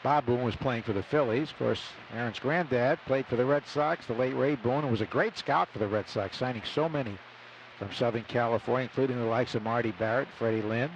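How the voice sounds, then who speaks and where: A man's voice: brisk, slight background noise, rough recording. An older American man doing conversational live radio baseball play-by-play from a stadium booth. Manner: conversational, storytelling between pitches. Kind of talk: live radio sports commentary.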